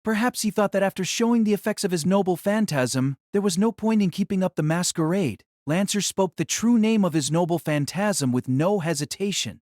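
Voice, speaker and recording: A man narrating a fantasy audio drama in a steady, even storytelling manner. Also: sits high, a little fast, hardly any pauses, no room sound, clean studio sound.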